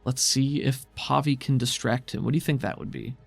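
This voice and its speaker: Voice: medium pitch, brisk, creaky, breathy edge, no echo, soft background music. A game master narrating and refereeing a tabletop role-playing game in a casual, conversational way.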